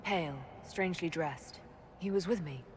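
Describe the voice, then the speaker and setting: An actress playing a composed, determined fantasy heroine in a video game, measured and direct. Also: female voice, fairly low pitch, slight background noise, lightly acted. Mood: firm composure. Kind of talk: dramatic video game voice acting.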